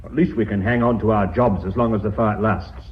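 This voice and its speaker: An actor playing a sardonic, outspoken company director in a British radio drama, blunt and mocking. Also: male voice, fairly low pitch, steady tone, a little fast, slight background noise, natural voice. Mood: blunt, wry. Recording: muffled, band-limited old radio recording.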